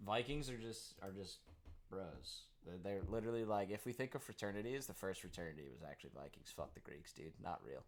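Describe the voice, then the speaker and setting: A man's voice: low-pitched, lively intonation, a little fast, a little hiss or hum. A young American man co-hosting a podcast, chatting casually, rambling, swearing a lot and laughing often. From United States. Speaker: podcast co-host. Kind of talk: casual chat.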